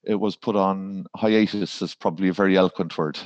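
A man's voice: low voice, steady tone, brisk, a little mellow, dry recording, highs cut off. An Irish man, a garden designer, talking in a podcast interview and rambling with fillers and restarts. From Ireland.

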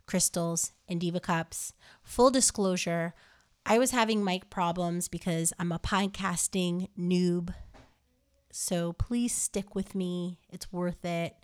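Low-voiced chatty woman hosting a podcast. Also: smooth. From New Jersey, USA. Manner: chatty, rambling, many ums and uhs. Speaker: podcast host. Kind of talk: casual podcast intro.

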